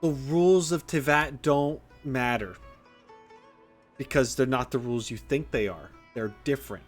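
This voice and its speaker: Casting: male game streamer, mid-pitched, informal and opinionated commentary over soft game music. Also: measured pace. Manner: opinionated, teasing, self-deprecating. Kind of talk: casual playthrough commentary.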